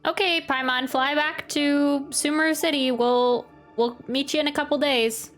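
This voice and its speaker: A woman's voice: sits high, steady tone, normal speed, a bit bright. A woman doing casual gameplay commentary as a streamer, musing playfully and reading game text aloud. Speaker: gaming streamer. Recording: voice over game music. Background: game music.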